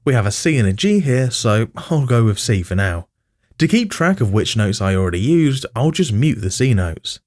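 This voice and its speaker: A man's voice: medium pitch, sing-song, moderate pace, a little mellow. A man narrating a scripted music production tutorial as its host.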